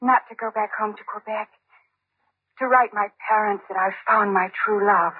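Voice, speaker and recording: A woman's voice: medium pitch, fairly even intonation, moderate pace, breathy edge, no echo, lightly acted. An actress playing a dreamy, stubborn seventeen-year-old girl in love in an old-time radio drama, with theatrical delivery. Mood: dreamy, tender. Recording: muffled old radio recording, narrow band.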